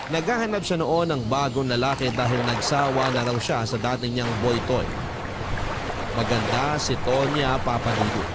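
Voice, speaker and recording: A man's voice: mid-range voice, close to an everyday voice. An actor playing a young male escort who narrates his own story in a confiding way for radio. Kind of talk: first-person dramatised narration. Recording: music under the voice. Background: loud background music.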